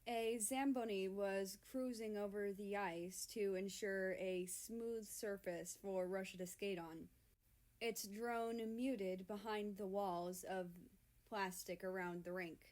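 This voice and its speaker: A woman's voice: mid-range voice, fairly even intonation, slow pace, a little mellow. A woman reading a story aloud as an amateur narrator. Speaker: amateur fan-fiction reader.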